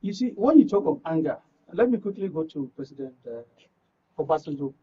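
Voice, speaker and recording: A man's voice: on the high side, sing-song, fairly quick, breathy edge, recorded from a distance. A Nigerian man giving political commentary as a panel guest, emphatic and prone to repeating his phrases. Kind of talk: animated panel discussion. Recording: dull audio, away from the mic.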